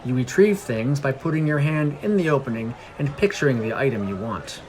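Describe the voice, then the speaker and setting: A man's voice: medium pitch, expressive, brisk, darkish tone, a little hiss or hum, close to an everyday voice. A man voicing a serious, businesslike guard captain of about forty in a fantasy story, blunt and matter-of-fact. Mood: explaining, dry.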